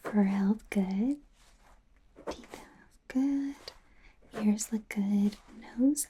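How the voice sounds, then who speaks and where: A woman playing a friendly, flustered young werewolf doctor in training in an ASMR roleplay, speaking in a soothing, hesitant, breathy whisper. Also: mid-range voice, dark tone, slight background noise, lightly acted. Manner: soothing, hesitant, rambling, self-correcting.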